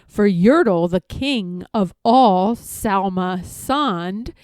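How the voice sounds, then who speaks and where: A woman narrating a rhyming children's storybook in an expressive read-aloud style. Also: mid-range voice, very slow, smooth, no echo, clean studio sound.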